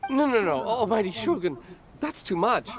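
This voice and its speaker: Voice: medium pitch, sing-song, normal speed, crowd noise, close to an everyday voice. An actor voicing a humble, nervous young food-stall vendor in a video game, deferential, hesitant and earnest. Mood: sheepish. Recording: music behind the voice.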